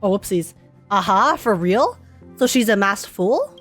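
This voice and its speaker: A woman's voice: mid-range voice, sing-song, unhurried, fairly bright tone, faint music behind. A woman gaming streamer giving casual reaction commentary, slangy and thinking aloud.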